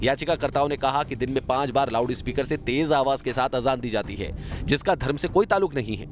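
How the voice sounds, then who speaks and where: A man delivers a scripted TV news voice-over in a dramatic style. His voice sits at an average pitch, with prominent music running underneath. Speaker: TV news reporter doing voice-over. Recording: music behind the voice. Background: news music bed.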